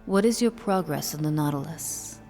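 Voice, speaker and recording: A woman playing a cold, imperious cult leader in an audio drama, speaking in a measured, solemn, menacing way. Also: low-pitched, warm tone. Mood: solemn, grave. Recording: long hall reverb. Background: eerie horror music.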